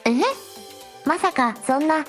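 A woman's voice: sits high, slow pace, fairly bright tone, faint music behind. A woman voicing a polite, supportive young princess hosting a livestream in a radio drama, speaking in formal honorifics. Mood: concerned.

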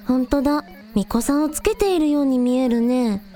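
女性が演じる高い声の若い村のアイドル. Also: female voice, high voice, brisk, warm tone, faint music behind, close to an everyday voice. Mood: concerned. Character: energetic young village idol girl, frank and caring. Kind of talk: amateur anime-like radio drama acting.

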